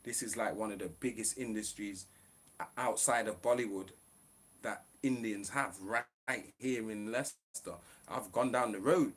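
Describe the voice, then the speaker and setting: A man's voice: on the low side, normal speed, slightly rough. A man ranting on a live stream, in a profane, preachy, fired-up way.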